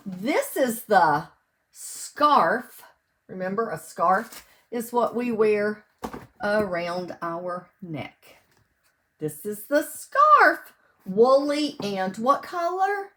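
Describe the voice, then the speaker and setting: A woman reads a picture book to small children in the manner of a preschool teacher. Her mid-pitched voice rises and falls in a lively sing-song. She takes her time, explaining things simply and putting questions to the children. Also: very slow. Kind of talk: read-aloud storytime for young children. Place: indoors at home.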